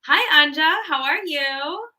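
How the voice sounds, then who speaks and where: A woman hosts a live online event over a video call. Her voice is bright and a little high. She talks in an upbeat, chatty way, with plenty of ums. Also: takes it slowly. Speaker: social media manager hosting a livestream. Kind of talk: livestream hosting.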